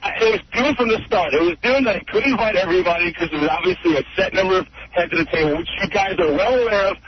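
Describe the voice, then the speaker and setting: A man's voice: sits high, rapid-fire. A man in an unscripted radio argument, defending himself in an aggrieved, apologetic way. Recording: dull, noisy radio recording.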